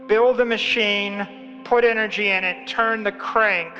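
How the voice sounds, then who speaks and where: High-pitched man speechifying in an echoing hall. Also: fairly even intonation, a little slow. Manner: emphatic short declarative phrases. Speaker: speaker. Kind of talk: motivational speech. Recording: echoey hall, dull sound, faint music.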